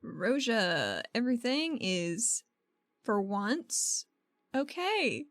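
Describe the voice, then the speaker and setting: A woman's voice: on the high side, big pitch swings, extremely slow, no echo, studio quality, exaggerated voice. A woman playing a wry, stubborn adventurer in a tabletop game, talking in a casual, slangy way. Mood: relieved.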